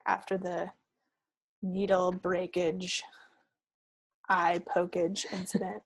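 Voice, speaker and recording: A woman's voice: fairly low pitch, little pitch movement, takes it slowly, gravelly, husky, no echo, rough recording. A woman co-hosting a craft podcast, chatting casually and without a script in a friendly way. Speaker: co-host of a knitting and crafts podcast. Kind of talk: casual unscripted podcast chat.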